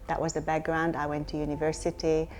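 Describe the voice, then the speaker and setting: Reflective Hungarian-born woman, lowish voice. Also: monotone, a little fast, darkish tone, a little hiss or hum. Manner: reflective, many fillers and restarts. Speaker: company CEO, guest. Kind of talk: interview answers.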